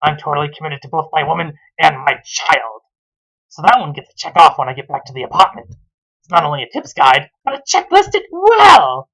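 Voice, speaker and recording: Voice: medium pitch, big pitch swings, a little fast, vocal fry, sharp tone, full character voice, rough recording. A reviewer voicing a smug young husband and father who narrates his own story in a mock-earnest, self-congratulatory way. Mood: pompous, gushing.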